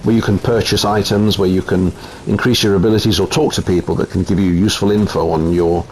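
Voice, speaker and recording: Italian man, lowish voice, relaxed game review at home, faint room hum. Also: fast talker, poor audio. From Italy. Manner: relaxed, chatty, frequent ums. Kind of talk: casual gameplay commentary.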